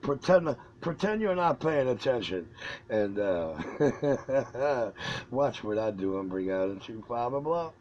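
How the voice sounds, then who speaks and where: A man on CB radio talking in a drawn-out, preachy hype-man way. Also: medium pitch, sing-song, darkish tone, highs cut off, slight background noise. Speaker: CB radio operator. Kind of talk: CB radio chatter.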